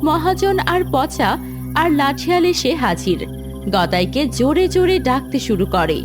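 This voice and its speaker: A woman's voice: high voice, sing-song, moderate pace. A woman narrating a scripted fairy-tale cartoon as storyteller. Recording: music under the voice.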